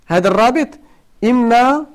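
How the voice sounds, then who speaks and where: Moroccan guy teaching a grammar class, high voice that jumps around a lot, keeps checking if students follow. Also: lively intonation, moderate pace. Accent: Moroccan Arabic. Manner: questions the students often, repeats points for emphasis. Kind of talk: classroom lesson.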